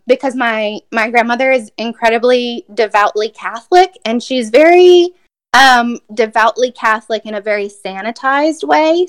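A woman's voice: fairly high pitch, clear, resonant tone. A woman poet from Brazil giving a reflective, thoughtful interview answer, with many fillers and restarts.

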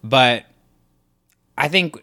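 Male podcast co-host, bright tone, casual rambling chat. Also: medium pitch, lively intonation, very slow. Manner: rambling, lots of fillers and restarts.

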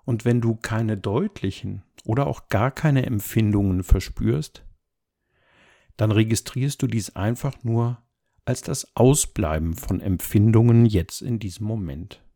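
A man guides a meditation in a low, mellow voice with a strong creak in it. His delivery is gentle and calm, with unhurried, measured phrases that give soft instructions.

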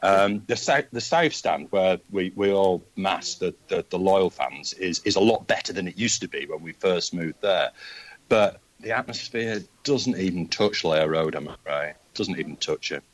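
An older man with a Manchester accent and a very low, deep voice tells long, wandering football stories. The sound is dry and close, with no room around it. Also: expressive, moderate pace, dry recording, poor audio. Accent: Mancunian. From Manchester, England. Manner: rambling anecdotal storyteller. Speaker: guest football fan. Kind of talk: casual podcast chat.

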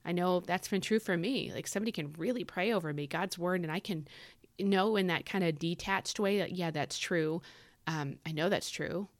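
A woman's voice: lowish voice, normal speed, dry recording. A woman hosting a devotional podcast, talking in a casual, earnest way with frequent fillers; her voice is scratchy and tired from overuse. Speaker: devotional podcast host and author.

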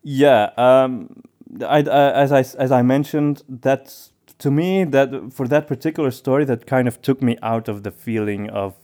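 A man co-hosting a podcast in casual discussion, with halting phrasing that often doubles back on words. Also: medium pitch, mellow. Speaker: podcast co-host.

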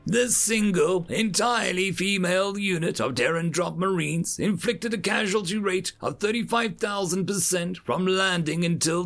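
Guy doing a calm alien teacher lecturing cadets, kinda high voice, formal and precise, sci-fi story. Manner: measured, didactic, formal. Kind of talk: acted dialogue in sci-fi story narration.

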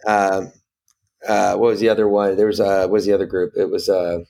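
A middle-aged man talking casually as a podcast guest, animated and sweary as he tells stories. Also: low voice, brisk, gravelly, slight background noise.

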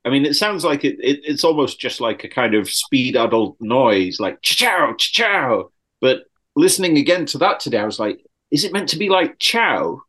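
A man's voice: medium pitch, sing-song, normal speed, fairly bright tone. A man in a casual podcast discussion, talking tentatively and often saying "like" and "you know". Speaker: podcast panelist. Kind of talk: casual discussion of song lyrics.

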